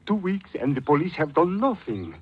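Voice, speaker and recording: An actor playing a grave, wealthy immigrant businessman in his forties, halting and formal, in 1940s radio drama. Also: fairly high pitch, very animated, slightly rough. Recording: dull lo-fi vintage radio recording.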